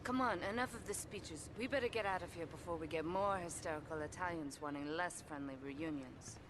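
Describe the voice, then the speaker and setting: An actress playing a cool, controlled female Yakuza boss in a video game, with a measured, imperious, dry delivery. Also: on the low side, noisy background, lightly acted. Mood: impatient. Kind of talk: video game cutscene acting.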